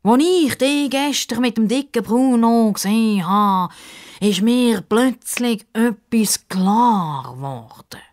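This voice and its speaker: Children's story crocodile, played by a woman: drawn-out, pompous, easily miffed, formal in every phrase. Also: fairly high pitch, animated, darkish tone, pitched above the performer's own voice. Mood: pompous, self-important. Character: pompous, slightly huffy crocodile, formal and unhurried. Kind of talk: children's radio story character acting.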